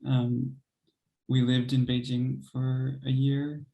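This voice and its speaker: A young man and composer, speaking thoughtfully in an interview, hesitant with many pauses and soft laughs. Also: medium pitch, monotone, gravelly, rough recording. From United States. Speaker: composer and pianist guest. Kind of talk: reflective interview conversation.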